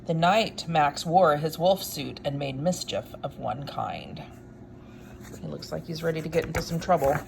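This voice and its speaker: Low-voiced, gravelly woman reading aloud. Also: background noise. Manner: expressive, unhurried reading with wry offhand commentary. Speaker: storyteller reading a picture book aloud. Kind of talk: read-aloud storytime with casual asides.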